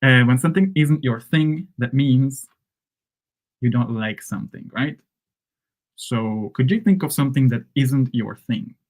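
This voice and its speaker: A man whose first language is Hungarian, teaching English phrases in a relaxed live lesson and checking in with "right?" and "okay?". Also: mid-range voice, a little slow. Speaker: English coach.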